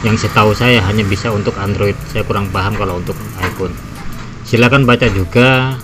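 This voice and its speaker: A man giving a measured, step-by-step tutorial voice-over as a gadget reviewer. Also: lowish voice. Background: background music.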